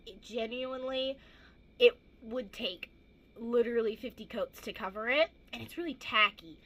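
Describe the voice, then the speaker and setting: A teenage girl with a hoarse, gravelly voice, chatting casually and rambling through a crafting vlog with self-deprecating asides. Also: on the high side, bright, forceful tone. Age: teens. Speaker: craft vlogger.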